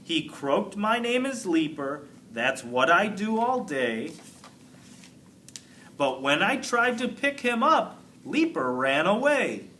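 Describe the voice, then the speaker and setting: A man's voice: high-pitched, big pitch swings, slow pace, sharp tone, slight background noise, pitched above the performer's own voice, heavily acted. A man reading a storybook as a cheerful young schoolgirl reciting a rhyme in a sing-song way. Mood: cheerful, proud.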